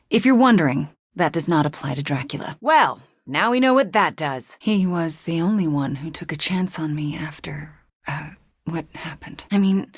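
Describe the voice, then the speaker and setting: A woman playing a brooding, remorseful young sorceress superhero in a video game, speaking with a soft, breathy, earnest voice. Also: on the low side, no room sound, clean studio sound. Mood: earnest, confiding. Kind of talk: dramatic video game character dialogue.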